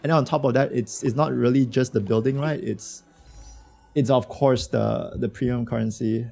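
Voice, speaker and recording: A man streaming a game with a hoarse voice from a cold, chatting loosely and slangily with his viewers. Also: mid-range voice, steady tone, moderate pace, darkish tone, faint music behind. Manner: slangy, rambling, talks to chat. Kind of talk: casual livestream chat reacting to a game.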